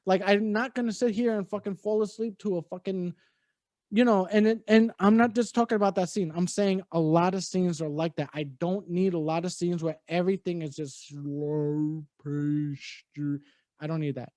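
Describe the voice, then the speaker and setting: A man's voice: high-pitched, fairly even intonation, normal speed, mellow, no room sound, clean studio sound. A man cohosting a casual movie review podcast, talking bluntly with plenty of swearing and restarts. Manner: blunt, profane, rambling with restarts.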